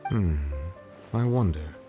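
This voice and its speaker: An actor playing a solemn, dignified judge in a video game, speaking in a formal, measured, reserved manner. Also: male voice, low-pitched, expressive. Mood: grave, pondering. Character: solemn, dignified male judge of otherworldly calm. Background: music.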